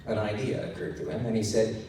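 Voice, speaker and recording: A man's voice: on the low side, fast talker, warm tone. Echoey, distant man reading fiction aloud. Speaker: audiobook narrator reading to an audience. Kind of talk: dramatic live fiction reading with character voices. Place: live meetup room with audience. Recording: echoey noisy room, recorded from far off. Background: audience laughter and room noise.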